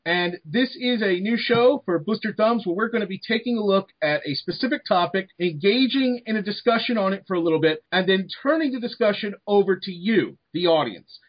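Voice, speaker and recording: A man with a husky voice hosting a discussion show, talking emphatically and repeating phrases to make his point. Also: high-pitched. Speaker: host of a debate show. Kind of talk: discussion hosting and opinion talk. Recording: dull lo-fi sound.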